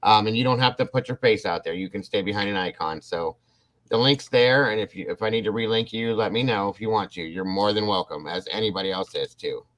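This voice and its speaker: A man's voice: on the low side, brisk, a bit bright, poor audio. A man hosting a casual livestream, rambling and restarting his sentences as he talks to his viewers. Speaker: livestream host. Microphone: computer mic on a livestream.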